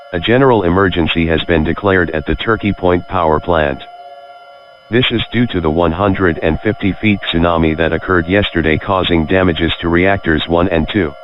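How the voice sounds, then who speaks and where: A man's voice: low-pitched, dull audio, natural voice. A male voice playing a grave, impersonal emergency broadcast announcer reading a formal warning.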